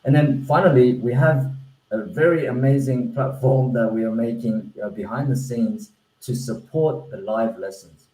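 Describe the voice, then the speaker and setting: Mellow-voiced man, roomy echo. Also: medium pitch, normal speed. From Australia. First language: Korean. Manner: earnest, with frequent restarts and fillers. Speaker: founder presenting a language-learning platform. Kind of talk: online webinar presentation. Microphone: video call.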